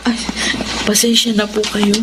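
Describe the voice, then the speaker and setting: A woman's voice: mid-range voice, level tone, a little slow, noisy background, lightly acted. An actress playing a humble, anxious young Filipino mother in a radio drama, deferential and apologetic. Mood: hesitant, apologetic.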